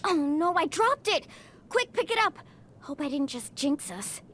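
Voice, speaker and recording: A woman's voice: high-pitched, very animated, measured pace, fairly bright tone, slight background noise, exaggerated voice. A woman voicing a perky, bubbly young girl character in a video game. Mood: excited.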